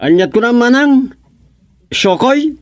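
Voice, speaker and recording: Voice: medium pitch, expressive, slow. Performer as swooping, defiant younger brother. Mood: sulky. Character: wayward, defiant younger brother, erratic and childish. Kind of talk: radio serial drama acting.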